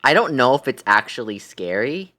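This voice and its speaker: A man's voice: medium pitch, big pitch swings, moderate pace, sharp tone. A tired man hosting a livestream, chatting casually and thinking aloud as he goes. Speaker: livestream host.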